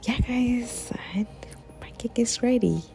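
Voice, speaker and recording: A young woman with a slightly husky voice, chatting casually to viewers while cooking in her home kitchen. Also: a little high, slow pace, mellow, faint music behind. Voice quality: husky, sounds slightly sick. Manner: chatty, giggly, talks to viewers as guys. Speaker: cooking channel host. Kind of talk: casual cooking vlog voice-over.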